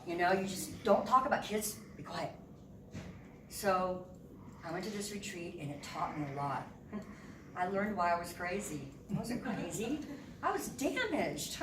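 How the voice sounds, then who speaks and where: Emotional woman in her 50s telling her story, far from the mic, noisy echoey room. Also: medium pitch. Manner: animated, candid and emotional. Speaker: guest speaker. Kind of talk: personal testimony speech. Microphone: room mic at a distance.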